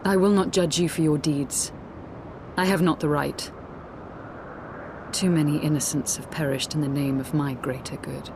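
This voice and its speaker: A woman's voice: sits low, fairly even intonation, moderate pace, pauses often, background noise. An actress playing a noble, remorseful female rebel leader in a fantasy video game, earnest and formal in her speech. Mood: sorrowful, subdued. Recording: music behind the voice. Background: loud game score.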